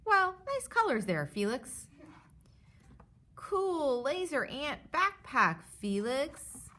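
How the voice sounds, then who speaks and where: A boisterous young classmate from a picture book, acted by a woman reader. The child speaks in a high, bouncy voice. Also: very animated, takes it slowly, sharp tone, exaggerated voice. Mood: friendly.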